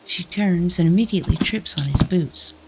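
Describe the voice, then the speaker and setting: Very low-voiced woman narrating fantasy. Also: normal speed, rough recording. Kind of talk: scripted fantasy story narration. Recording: faint music behind the voice.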